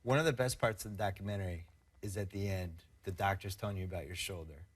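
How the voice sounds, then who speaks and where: Guy with a slightly low voice co-hosting, chatty rambling questions. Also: quick pace, breathy edge. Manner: conversational, builds long questions with "like". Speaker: co-host. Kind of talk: casual interview questions.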